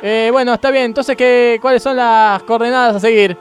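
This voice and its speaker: A man's voice: extremely high pitch, steady tone, moderate pace. A man with an Argentine accent playing a bungling, grumbling emergency crewman in a radio comedy sketch, rambling and repeating himself over vehicle noise. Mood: agitated. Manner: rambling, repetitive, colloquial. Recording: noisy city street and vehicle ambience.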